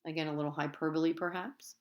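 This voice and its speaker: A woman's voice: low voice, level tone, fast talker. A woman teacher reading a children's book aloud expressively, with asides that speak directly to the children.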